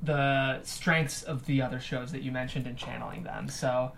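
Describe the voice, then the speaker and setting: Woman panel host, pretty low voice, articulate, chatty interview style, bit of noise behind. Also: steady tone, normal speed, gravelly.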